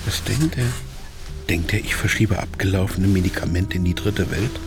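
A man's voice: low voice, moderate pace, over music. An actor playing a bewildered, panicked man's agitated inner monologue in a radio drama. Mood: bewildered, indignant. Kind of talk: German radio drama acting.

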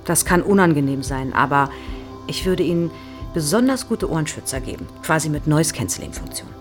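Low-voiced, eager research psychologist. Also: female voice, lively intonation, fairly quick, over music. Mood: curious, reassuring. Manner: polite, explanatory, keenly interested. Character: eager, probing female research psychologist, no longer young. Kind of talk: naturalistic audio drama dialogue.

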